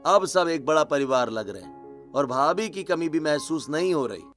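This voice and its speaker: A man's voice: on the high side, animated, moderate pace, fairly bright tone, soft background music. A man voicing a contented male relative in a cartoon family story, approving and easygoing. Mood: pleased.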